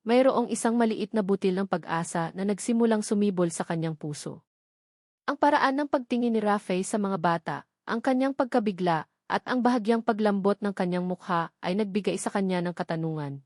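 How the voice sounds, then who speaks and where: A woman reading a scripted romance story as narrator, in a measured storytelling delivery. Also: medium pitch, darkish tone, no echo, clean studio sound.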